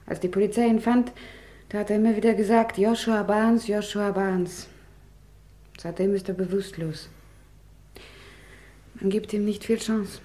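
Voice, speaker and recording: Radio crime play: actress as loyal female confidante, mellow mid-range voice, composed and caring. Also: medium pitch, fairly even intonation, unhurried, long pauses, lightly acted. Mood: grave. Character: concerned, matter-of-fact woman, loyal friend of suspect. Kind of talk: German radio crime drama acting.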